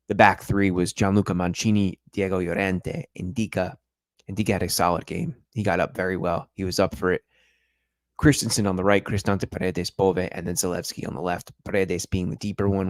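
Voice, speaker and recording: Casting: male stream host, very low voice, dry close sound, chatty and analytical. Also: no room sound. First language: English. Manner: chatty, analytical, addresses viewers directly. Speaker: football podcast host. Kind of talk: live-stream match review.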